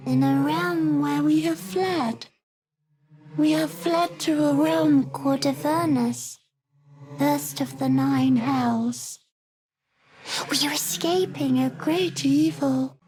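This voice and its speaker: A strange little game creature with a high, childlike voice. It speaks very slowly in short, halting, whispery phrases, devoted to its hive and eerily insistent. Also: female voice, darkish tone. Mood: devoted, reverent. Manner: slow, halting, clipped sentences. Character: eerie childlike intellect devourer creature, devoted hive-minded, urgent. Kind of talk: video game voice acting.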